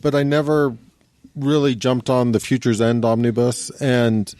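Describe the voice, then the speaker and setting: A man's voice: medium pitch, little pitch movement, measured pace, darkish tone, dry recording. A man co-hosting a podcast in casual conversation. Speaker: podcast co-host. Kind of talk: casual chat.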